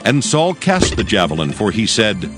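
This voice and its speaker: A man narrating scripture for an audio drama in a solemn, measured storytelling manner. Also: fairly low pitch, sing-song. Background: music.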